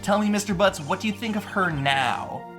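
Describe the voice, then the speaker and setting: A man's voice: high-pitched, moderate pace, a bit bright, soft background music, pitched above the performer's own voice. Man acting a smug, formal prosecutor. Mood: smug, accusing. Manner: formal, pointed, condescending. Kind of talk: video game fan dub.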